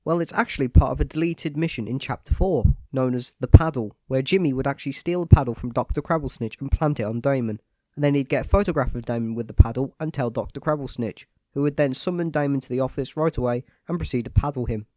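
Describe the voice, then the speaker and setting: A man's voice: mid-range voice, fast talker, darkish tone, no room sound. A man with a cold and a stuffy nose, giving a scripted, matter-of-fact voice-over analysis of a video game.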